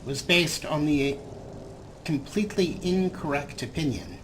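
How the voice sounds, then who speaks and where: A man's voice: a little high, big pitch swings, unhurried. Wry man over noisy game ambience. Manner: wry, sarcastic. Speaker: let's play host. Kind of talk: casual gameplay commentary.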